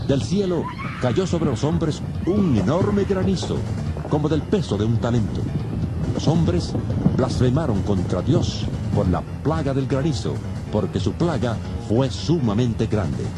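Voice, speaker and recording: A man narrating scripture in a solemn, dramatic audiobook style. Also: medium pitch. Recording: loud music under the voice.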